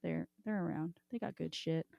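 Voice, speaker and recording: A woman's voice: sits low, fairly even intonation, moderate pace, creaky, darkish tone, dry recording, lo-fi sound. A woman hosting a casual podcast chat, talking in an irreverent, informal, sweary way. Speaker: podcast host.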